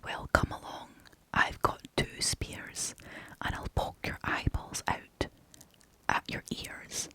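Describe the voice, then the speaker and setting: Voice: takes it slowly, pushed and bright, no echo. A British storyteller voicing a big, bold, threatening male billy goat from a storybook in a defiant whisper.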